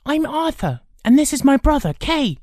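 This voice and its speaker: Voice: sits high, very animated, moderate pace, mellow, no echo, clean studio sound, pitched above the performer's own voice. A performer voicing an earnest, polite, kind-hearted young boy hero in a storybook. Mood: eager, friendly. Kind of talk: audiobook character acting.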